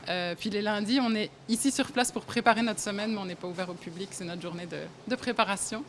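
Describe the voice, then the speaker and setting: A woman's voice: mid-range voice, quick pace, a bit bright, a little hiss or hum. A young woman from Belgium, a café owner, talking casually in an interview with frequent hesitations and small laughs. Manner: hesitant, many fillers, laughs often. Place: café, indoors.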